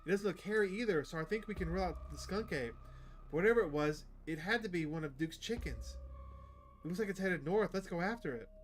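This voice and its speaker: A man's voice: high voice, animated, quick pace, soft background music, pitched above the performer's own voice. A man voicing a chatty, upbeat outdoorsy woman who hunts cryptids, reading visual novel dialogue aloud. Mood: excited.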